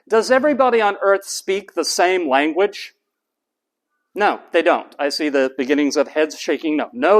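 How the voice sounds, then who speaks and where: Animated, high-pitched male lecturer. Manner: animated, asks rhetorical questions and answers them. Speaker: university lecturer. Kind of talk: classroom lecture. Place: classroom.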